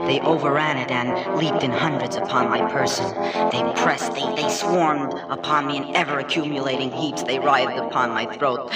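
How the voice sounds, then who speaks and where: A man playing a tormented condemned prisoner in mortal terror, reading a gothic horror story in a theatrical, halting, anguished way. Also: medium pitch, natural voice. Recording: echoey, distant, loud music behind.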